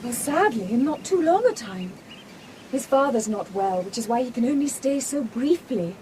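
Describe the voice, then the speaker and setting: An actress playing a sweet, excitable young English gentlewoman, genteel and coaxing, in a period radio drama. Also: fairly high pitch, moderate pace, a little hiss or hum, rough recording. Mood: happy, wistful.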